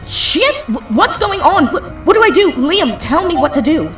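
Casual young female hacker, wry, lively intonation, audio drama, echoey room, music underneath. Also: very high voice, normal speed, full character voice. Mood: flustered, panicky. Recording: roomy echo, music and sound effects underneath.

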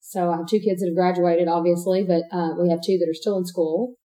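A woman's voice: fairly low pitch, fairly even intonation, fast talker, mellow, poor audio. A woman in her forties from East Texas, a guest answering interview questions in a matter-of-fact way. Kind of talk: casual interview.

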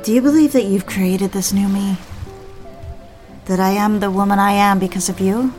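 Romantic audio drama wife, actress: woman, newlywed, medium pitch, earnest, probing, softly pleading, light music underneath. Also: fairly even intonation, normal speed, natural voice. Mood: tender.

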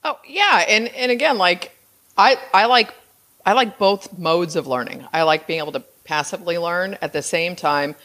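A woman in her fifties hosts a podcast interview with a low-pitched voice. She talks in an enthusiastic, chatty way, restarting her sentences as she goes. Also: moderate pace, a bit bright. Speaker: podcast host. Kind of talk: casual interview chat.

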